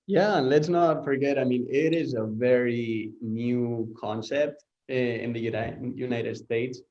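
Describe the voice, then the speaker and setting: A man's voice: mid-range voice, fairly even intonation, measured pace, a little mellow. A man hosting a webinar, steering the talk with casual questions. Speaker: webinar host. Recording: dull lo-fi audio.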